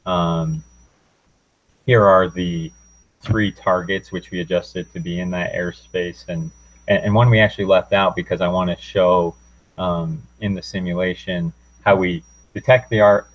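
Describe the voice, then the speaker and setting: Very low-voiced man, presenting in flat tones. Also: highs cut off. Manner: hesitant, informal, unscripted. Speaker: student presenting a class project. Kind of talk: technical presentation, walking through a demo.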